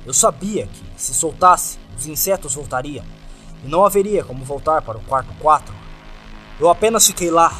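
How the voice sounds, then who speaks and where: Male horror narrator, Brazilian accent, slightly high register, very animated intonation, over music. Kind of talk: scripted first-person horror narration.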